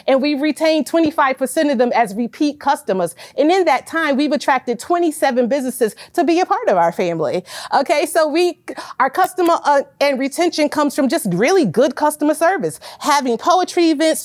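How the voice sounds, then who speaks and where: A woman in her forties from Connecticut, a business owner giving a loud, emphatic pitch and calling her listeners y'all. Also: dry recording, studio quality. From Hartford, Connecticut.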